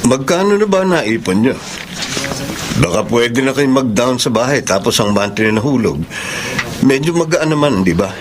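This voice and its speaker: An actor playing a kind, teasing middle-aged uncle in a Filipino radio drama. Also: male voice, medium pitch, moderate pace, over music, lightly acted. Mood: teasing generosity. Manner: teasing, animated. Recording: clearly noisy background.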